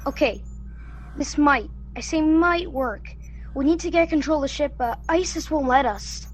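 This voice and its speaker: A woman playing a cautious, deliberate young tech-minded colonist in a student sci-fi radio play. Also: sits high, slight background noise, lightly acted. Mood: hesitant, cautious.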